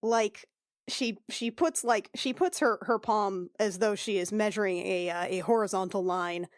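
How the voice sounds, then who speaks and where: A woman's voice: medium pitch, a little slow, no echo, clean studio sound. A woman playing a tabletop game, describing her character in a casual, informal, sweary way. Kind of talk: casual improvised roleplay narration.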